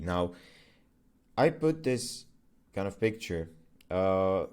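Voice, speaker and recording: A young man with a low, deep voice, speaking English as an Arabic native speaker. He talks in a loose, conversational vlog manner, full of fillers and quick self-corrections. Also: darkish tone. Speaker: agency founder vlogger. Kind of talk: casual explainer vlog.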